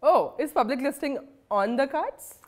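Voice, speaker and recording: A woman's voice: high voice, lively intonation, normal speed. A woman in her thirties with an Indian accent, asking questions in a conversational interview. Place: indoor office lounge set.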